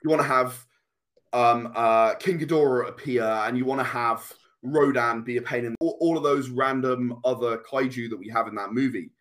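A man with a mid-pitched voice talks as a guest in a casual interview. He is a game designer who explains things at length and with enthusiasm. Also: normal speed, fairly bright tone.

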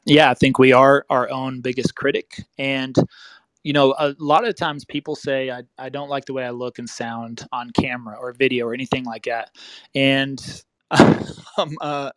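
A man's voice: medium pitch, fairly even intonation, normal speed, fairly bright tone, no room sound, poor audio. Casual rambling male podcast host. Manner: rambling, self-correcting, chuckles often. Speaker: real estate podcast host. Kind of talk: casual advice talk.

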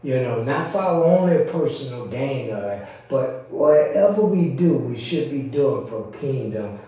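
A man's voice: medium pitch, expressive, measured pace, dark tone. A man preaching in an informal, teacherly way, explaining scripture and often checking that his listeners follow. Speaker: preacher leading a church service. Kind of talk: informal expository sermon. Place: reverberant indoor church service. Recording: echoey room, distant mic.

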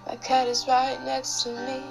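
A young woman singing her own song in a tired, slightly raspy voice. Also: high voice, monotone, unhurried, rough recording. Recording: home demo with guitar playing.

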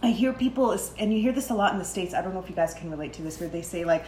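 A Lebanese-born woman in casual chat, telling animated stories, acting out voices and laughing often. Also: lowish voice, racing, reverberant, slight background noise, poor audio.

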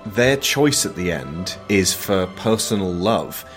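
Male film podcast host, low voice, earnest and thoughtful, faint music underneath. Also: lively intonation, slow pace. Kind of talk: reflective film discussion.

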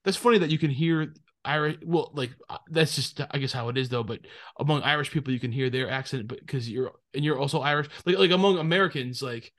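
Rambling American host with New England accent. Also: male voice, medium pitch, fast talker, fairly bright tone. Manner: rambling, many fillers and restarts. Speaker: podcast host. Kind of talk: casual podcast chat.

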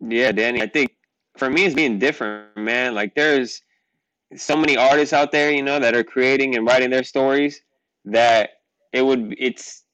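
Young guest, Portuguese first language, loose rambling talk, dry close sound. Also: male voice, mid-range voice, steady tone, moderate pace, fairly bright tone, dry recording, poor audio. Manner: loose, rambling, says "like" and "you know what I mean" a lot. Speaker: rapper guest. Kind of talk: casual interview chat.

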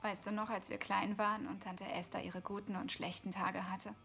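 An actress in a dubbed TV series playing a weary, caring younger sister, frank and subdued. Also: female voice, medium pitch, flat delivery, normal speed, creaky, a bit bright. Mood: concerned, subdued.

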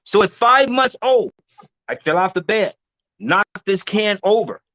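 Older guest in his sixties with a loud preachy delivery, high voice that swings all over, dry sound. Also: animated, a little slow, no echo, highs cut off. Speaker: guest herbalist. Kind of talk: preacher-like storytelling.

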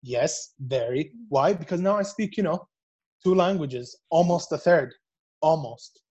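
A man whose first language is Arabic talks through his thoughts in a relaxed conversation. He has a slightly high voice for a man and pauses often, restarting his sentences as he reflects. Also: breathy edge, highs cut off. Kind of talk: casual conversation.

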